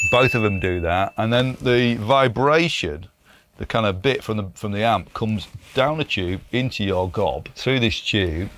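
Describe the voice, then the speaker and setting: A man's voice: lowish voice, slight background noise. A British man presenting a casual gear review in an informal, enthusiastic way. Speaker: presenter demonstrating guitar pedals.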